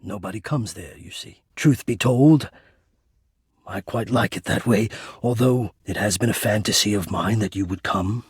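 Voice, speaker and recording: An actor playing a shy, formal and lovestruck male ventilation system in a dating game, speaking earnestly and haltingly in a breathy voice. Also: on the low side, no room sound, clean studio sound. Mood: tender, hesitant. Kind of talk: dating game character voice acting.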